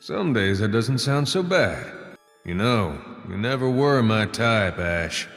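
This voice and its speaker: Wry cowboy gunslinger, US drawl, unhurried, lilting, long reverb, video game character. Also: male voice, lowish voice, big pitch swings, fairly bright tone, soft background music, full character voice. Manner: laid-back, wry, drawling. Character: laid-back wry cowboy gunslinger, former outlaw.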